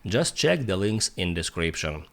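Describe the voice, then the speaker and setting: A man who is a non-native English speaker narrates a step-by-step tutorial in a sing-song, halting way. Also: sits low, sing-song, vocal fry, fairly bright tone. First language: not English. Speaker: tutorial presenter.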